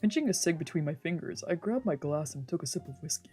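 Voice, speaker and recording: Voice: medium pitch, lively intonation, fast talker, a little mellow, breathy edge. A streamer reading a visual novel aloud as narration, dramatically, with casual asides. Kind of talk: let's play narration with improvised character voices. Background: faint game music.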